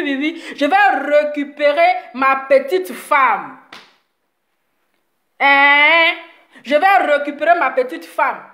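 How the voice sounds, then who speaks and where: A high-voiced woman rants heatedly to her online audience, circling back to the same points over and over. She sounds a little congested from a cold, and her voice rings in an echoey room. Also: a bit bright. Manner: heated, repetitive, scolding. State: slight cold. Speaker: social media commentator. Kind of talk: live video rant to followers.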